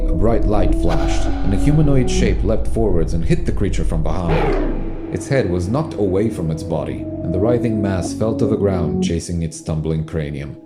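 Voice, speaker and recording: A man narrating an audio drama in a scripted storytelling style. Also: low voice. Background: music.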